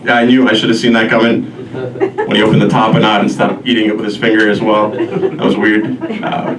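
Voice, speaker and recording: A man from Albania doing a self-deprecating stand-up comedy set, with a deadpan, halting delivery. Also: lowish voice, a crowd in the background. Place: live comedy show before an audience. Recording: roomy echo, distant mic, noisy live venue.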